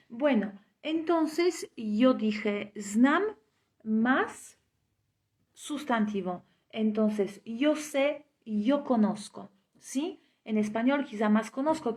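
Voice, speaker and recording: A woman teaches a live online language class at an unhurried pace. Her voice sits a little high, and she keeps checking in with her students through short tag questions as she explains the grammar. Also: darkish tone. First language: Spanish.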